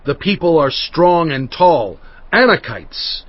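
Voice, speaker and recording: Solemn, animated biblical prophet. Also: male voice, fairly high pitch, slow pace, no room sound, full character voice. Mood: stern, forceful. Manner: solemn, emphatic oratory. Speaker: dramatized audiobook reader. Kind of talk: dramatized scripture reading. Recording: dull audio with faint music bed. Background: faint background music.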